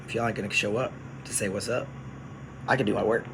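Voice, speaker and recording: A man who works as a radio DJ, chatting casually on a phone livestream from his studio, relaxed and explaining things to viewers. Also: lowish voice, quick pace, creaky, slight background noise, poor audio.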